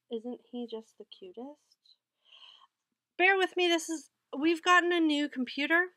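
Woman, 30s, knitting vlogger fighting a head cold; rambling, high-ish voice, close and dry. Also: pushed and bright, dry recording. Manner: chatty, rambling, self-correcting. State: head cold, a bit nasal. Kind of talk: casual haul and recap vlog. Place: small wood-paneled room with bookshelves. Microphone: webcam at desk.

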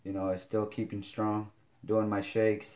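A man in his forties with a fairly low voice talks through his kitchen gear in a loose, halting way, full of fillers and restarts. He is recorded by a camera on the counter of his home kitchen. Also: completely flat delivery, darkish tone. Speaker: fitness vlogger. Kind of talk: casual product demo.

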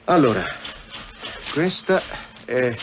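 An actor playing a deferential police subordinate in a radio drama, stammering a little and bureaucratic when reading aloud. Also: male voice, mid-range voice, sing-song, slow. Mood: dutiful. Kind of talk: Italian radio drama acting. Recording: dull, noisy radio drama recording.

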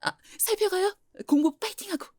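A woman playing a flower-shop mother in her forties, gentle and teasing, often laughing. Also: very high voice, a little fast, dry recording, clean studio sound. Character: warm mother who runs a flower shop. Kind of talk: audio drama acting.

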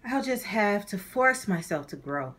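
A woman's voice: mid-range voice, big pitch swings, measured pace, a bit bright, voice pushed up. A woman voicing a small, childlike male dinosaur in a storybook, earnest and eager to grow.

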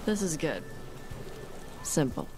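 Terse, blunt young horned warrior. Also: female voice, sits low, big pitch swings, takes it slowly, background noise, exaggerated voice. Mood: curt. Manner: terse, gruff, understated. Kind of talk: video game dialogue.

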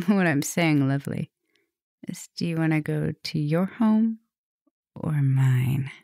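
Woman playing a low, creaky, flirty vampire professor. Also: slow, warm tone, no echo, studio quality, exaggerated voice. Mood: teasing, flirty. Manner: intimate, teasing, drops into whispers. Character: flirtatious, teasing, softly dominant female vampire professor. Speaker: roleplay audio performer. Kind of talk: ASMR roleplay.

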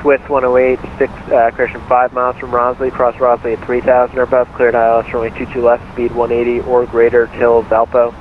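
Fast, flat-toned male air traffic controller on radio. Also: medium pitch. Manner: clipped, procedural phrasing. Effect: radio transmission. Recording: muffled narrowband radio, cockpit drone noise.

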